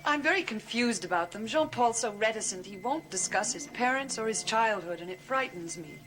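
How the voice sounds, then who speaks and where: An actress playing a confused, troubled young bride-to-be in a hesitant, earnest way, in the style of a 1970s gothic television soap. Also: female voice, on the high side, animated, moderate pace, fairly bright tone, a little hiss or hum, poor audio. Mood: confused, worried.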